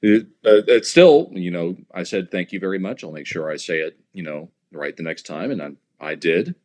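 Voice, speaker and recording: An American man in his thirties, a stadium announcer, talking casually as an interview guest and explaining things in a halting, conversational way. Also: deep voice, expressive, a little mellow. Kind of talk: casual interview talk, anecdotal.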